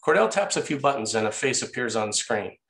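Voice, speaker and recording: A man's voice: mid-range voice, very animated, brisk, vocal fry, a bit bright. A man narrating the scene directions of a screenplay at a table read. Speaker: narrator reading stage directions.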